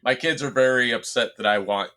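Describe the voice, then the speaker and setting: A man's voice: mid-range voice, fairly quick, bright, forceful tone. A middle-aged man chatting casually about pop culture as a podcast co-host, rambling and telling stories with some swearing.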